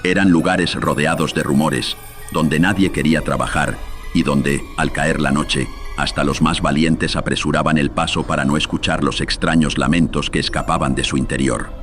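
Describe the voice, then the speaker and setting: A man's voice: fairly even intonation, normal speed, a bit bright, soft background music. A man narrating scripted horror tales as host, solemn, ominous and theatrical, with a processed voice. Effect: deepening voice filter.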